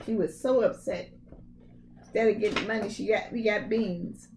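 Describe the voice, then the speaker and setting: A woman's voice: mid-range voice, fairly even intonation, moderate pace, noisy background. A woman reading a storybook aloud to young children expressively, with casual asides and emphatic phrasing. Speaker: preschool read-aloud storyteller.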